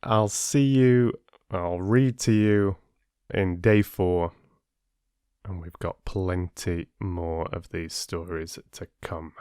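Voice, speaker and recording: A man reading aloud as an audiobook host, with an expressive, measured storytelling manner. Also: low voice, clear, resonant tone, no room sound, clean studio sound. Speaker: audiobook reader and channel host. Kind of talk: children's story narration.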